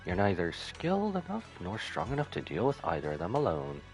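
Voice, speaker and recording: A man's voice: deep voice, wildly swooping pitch, fairly quick, soft background music, full character voice. A man reading out the game lines of a stern, knowing, protective female martial arts master. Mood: stern, cautioning. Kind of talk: reading game dialogue aloud.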